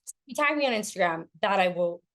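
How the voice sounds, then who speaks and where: A woman's voice: medium pitch, lively intonation, fast, a bit bright. A woman with a mixed British and American accent, grew up in London and Italy, chatting as an actor guest in a casual interview, laughing often and correcting herself.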